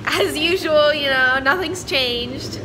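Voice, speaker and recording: A woman's voice: high voice, slow pace. A teenage girl chatting casually into a handheld phone on a beach, with a lot of "like" and laughter. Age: teens. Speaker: vlogger. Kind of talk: casual vlog chat. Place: outdoors on a beach. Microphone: handheld phone camera. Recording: loud surf noise on a phone. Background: surf and wind.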